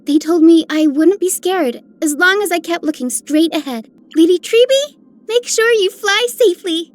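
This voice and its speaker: Sweet, high-voiced young healer. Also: female voice, lively intonation, moderate pace. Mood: gentle, sweet. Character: gentle, caring young female healer. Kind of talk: video game voice acting.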